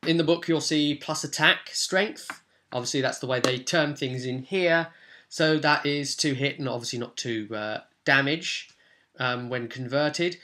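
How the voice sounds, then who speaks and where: Male gaming vlogger, bright forceful voice, informal walkthrough commentary, chatty and animated in his asides. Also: a little high. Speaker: tabletop gaming vlogger, game master.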